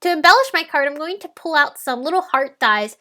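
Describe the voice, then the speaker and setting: A woman with a stuffy head cold, sounding nasal, calmly talking through a card-making tutorial step by step. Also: sits high, a little fast. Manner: calm explanatory walkthrough. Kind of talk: step-by-step crafting voice-over.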